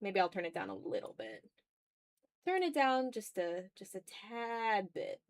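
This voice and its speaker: A woman's voice: mid-range voice, huge pitch swings, a little slow. Chatty woman hosting a casual art livestream. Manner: informal, chatty, breaks off to greet viewers. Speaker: host of an art livestream, video creator. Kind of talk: casual livestream chat with viewers while drawing. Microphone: streaming mic, newly placed a little far away.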